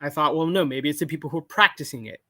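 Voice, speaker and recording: A young man calling in to a live show, talking in a halting way full of restarts and self-corrections. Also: a little high, sharp tone, dry recording, clean studio sound. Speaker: caller. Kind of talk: casual call-in conversation. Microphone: call-in over a video call.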